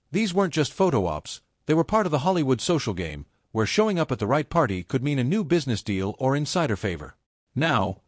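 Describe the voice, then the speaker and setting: A man's voice: mid-range voice, no echo, studio quality. A man reading scripted celebrity gossip narration in a dramatic, insinuating storytelling manner.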